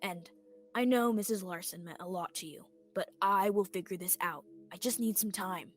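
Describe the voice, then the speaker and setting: A young woman playing an anxious male small-town sheriff trying to seem calm, acted in radio theatre style. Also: mid-range voice, normal speed, faint music behind, close to an everyday voice. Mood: firm, apologetic. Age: young adult.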